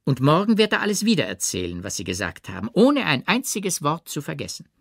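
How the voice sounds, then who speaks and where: A male narrator acts out an effusive society hostess in a literary audiobook. She is bossy and exclamatory, her pitch lifted high. The recording is very dry. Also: wildly swooping pitch, a little fast, creaky, no echo, exaggerated voice. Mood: scolding, gushing. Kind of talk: audiobook character reading.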